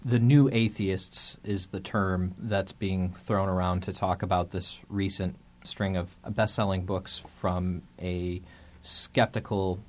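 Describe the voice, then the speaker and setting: A man with a very low voice hosts a podcast conversation. He talks in a thoughtful, searching way, stopping to restart phrases and repeat words before he makes his point. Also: fairly even intonation, slow, hardly any pauses, darkish tone. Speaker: podcast host. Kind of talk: casual discussion.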